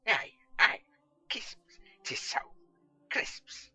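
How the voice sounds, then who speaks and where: A man voicing a muddled, excitable, imperious old wizard with archaic, halting, muttering speech in a storybook reading. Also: slight background noise, exaggerated voice. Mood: muddled, uncertain. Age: older.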